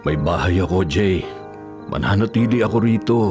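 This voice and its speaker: Low-voiced gentle older Filipino husband. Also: male voice, animated, moderate pace, over music. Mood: steadfast. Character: gentle, devoted, forgiving older widowed husband. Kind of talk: Filipino radio drama acting.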